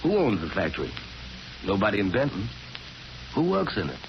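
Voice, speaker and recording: A grave, earnest priest in a vintage radio drama. His low voice is direct and insistent, behind heavy broadcast noise. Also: huge pitch swings, moderate pace, vocal fry, heavily acted. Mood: firm, insistent. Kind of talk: 1940s radio drama acting. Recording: muffled, very noisy 1940s radio broadcast.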